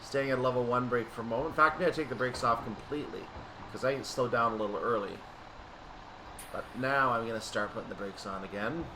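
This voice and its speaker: A man's voice: medium pitch, fairly quick. A man giving play-along commentary on a train simulator, slipping into station-announcer style calls. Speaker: gameplay commentator. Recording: steady noise behind the voice.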